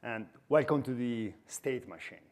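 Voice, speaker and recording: A man's voice: medium pitch, unhurried, a little mellow. Italian accent, man in his forties, conference speaker, chatty, self-deprecating humor, headset mic. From Italy. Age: 40s. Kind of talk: conference talk. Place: conference stage in a hall.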